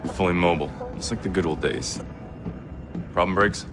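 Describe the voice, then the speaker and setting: An actor playing a grim middle-aged covert-ops commander in a game cutscene, terse and commanding. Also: male voice, sits low, a little fast, over music, close to an everyday voice. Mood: businesslike. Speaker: team leader. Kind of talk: dramatic game cutscene acting.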